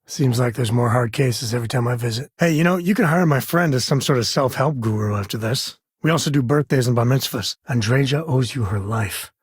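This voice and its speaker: An actor playing a rugged, wry frontier space explorer in a video game, with a husky voice and folksy, conversational delivery. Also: male voice, medium pitch, brisk, few pauses, dry recording, clean studio sound, natural voice. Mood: wry, easygoing. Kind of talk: video game character dialogue.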